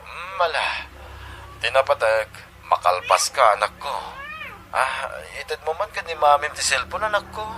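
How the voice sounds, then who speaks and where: A man playing a gentle, affectionate father and husband in a sentimental audio drama, with a Filipino accent. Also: medium pitch, lively intonation, normal speed, slight background noise. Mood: fond.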